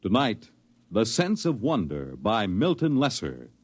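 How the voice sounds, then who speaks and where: A man's voice: on the low side, wildly swooping pitch, measured pace. A man announcing a 1950s radio show with a dramatic, sweeping delivery. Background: music.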